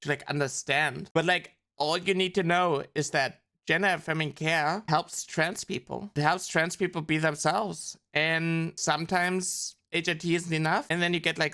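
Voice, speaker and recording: A woman's voice: low-pitched, fairly bright tone. A young adult woman streaming as a VTuber, explaining a subject in an earnest, casual way, with lots of "like" fillers.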